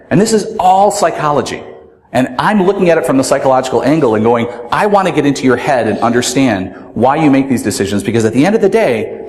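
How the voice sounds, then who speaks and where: A man with a very loud voice giving a conference talk, animated and rhetorical, often asking the audience "right?". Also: medium pitch. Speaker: conference speaker. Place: large conference room. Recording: echoey hall with long reverb.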